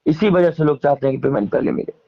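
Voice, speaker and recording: A man's voice: a little high, fast, gravelly, muffled recording. A man with a Bihari accent speaking casually as an interviewee, emphatically airing grievances in colloquial, repetitive phrasing. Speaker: interviewee, singer and stage performer.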